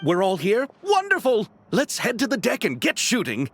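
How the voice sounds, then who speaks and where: A man's voice: sits high, wildly swooping pitch, moderate pace, fairly bright tone, slight background noise, exaggerated voice. A man playing an enthusiastic, courteous, flamboyant film crew leader in a video game, with formal phrasing.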